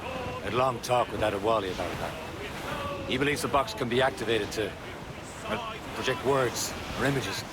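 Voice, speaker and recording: A man acts a sober, reflective companion in a video game cutscene. His voice is of middling pitch, with a measured and dry delivery. Loud surf and boat noise runs behind him. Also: lightly acted. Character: thoughtful, sober male companion, measured and mild.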